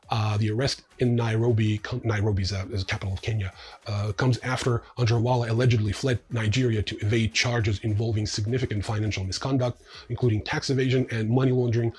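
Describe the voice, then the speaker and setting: Husky, low-voiced man reading news. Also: a little mellow. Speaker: news show host. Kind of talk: scripted news reading with offhand asides.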